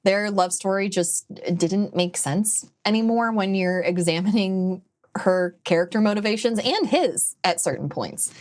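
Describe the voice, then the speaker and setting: A woman giving opinionated commentary in a conversational video essay style, with animated phrasing. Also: medium pitch, a little slow.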